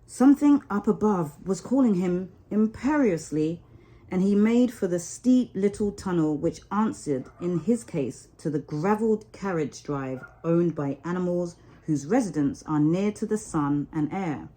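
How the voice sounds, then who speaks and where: A woman storyteller reading a children's story expressively, with deliberate, dramatic phrasing. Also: lowish voice, lively intonation, warm tone, low-quality recording.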